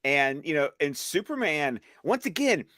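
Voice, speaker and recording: A man's voice: on the high side, very animated, unhurried, fairly bright tone, no room sound, studio quality. Enthusiastic man sharing fan trivia. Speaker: podcast co-host. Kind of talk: casual chat with trivia asides.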